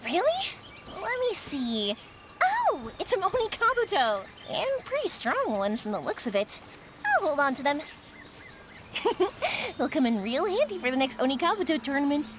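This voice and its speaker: A woman voicing a bold, curious, excitable young game character with a breezy, exclamatory, casual way of talking. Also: high voice, a little slow, slight background noise, heavily acted. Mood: eager, upbeat. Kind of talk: video game voice acting.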